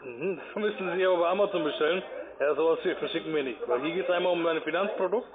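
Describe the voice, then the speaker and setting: A man working as a call centre manager, talking in a brisk sales style over the telephone. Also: on the high side, over a noisy crowd. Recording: phone line, busy background din.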